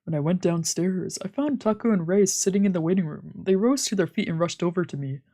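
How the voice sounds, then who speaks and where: A woman's voice: on the low side, a little fast, mellow. A woman streamer reading a visual novel aloud as dramatic narration. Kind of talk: dramatic narration of a let's play.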